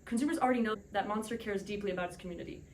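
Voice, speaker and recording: A woman's voice: mid-range voice, rapid-fire, slight background noise. A woman making a scripted, formal argument as a businesslike debate panelist. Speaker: debate panelist playing an executive.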